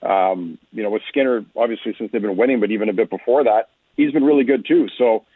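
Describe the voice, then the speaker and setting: A man giving conversational sports analysis as a guest, explaining in a measured way over a remote call-in line. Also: lowish voice, husky, dry recording. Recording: tinny phone-like radio line.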